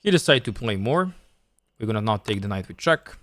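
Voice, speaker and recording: A man's voice: lowish voice, very animated, a little fast, fairly bright tone, no room sound. A man from Serbia with a Serbian accent, thinking aloud and explaining step by step as he coaches on a live chess stream.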